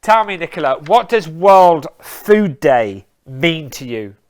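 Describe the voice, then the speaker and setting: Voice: medium pitch, very animated, slow pace. A host running a live interview, full of praise and asking long, rambling questions.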